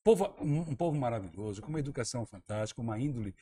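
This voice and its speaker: A man from southern Brazil makes his case as a radio guest, pressing his points with emphasis. His voice is slightly husky, and his pitch rises and falls freely. He sometimes repeats words as he gathers his thoughts. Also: animated, very fast, warm tone. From Santa Catarina, Brazil. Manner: emphatic, argumentative, repeats words while thinking. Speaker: interview guest, former tourism minister. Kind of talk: radio talk-show interview.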